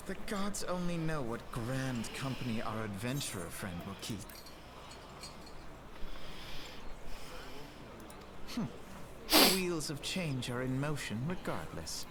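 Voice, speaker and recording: Haughty fantasy game brother , male actor, animated and sarcastic, archaic formal diction, noisy ambient backdrop. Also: mid-range voice, moderate pace, lightly acted. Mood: musing. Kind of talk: dramatic fantasy game voice acting.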